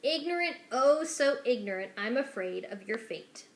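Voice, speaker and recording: A woman's voice: a little high, lively intonation, normal speed. A woman voicing a menacing male villain rat in a storybook, falsely polite, formal, condescending and commanding. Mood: sinister politeness. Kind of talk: dramatic children's storybook reading. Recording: echoey room.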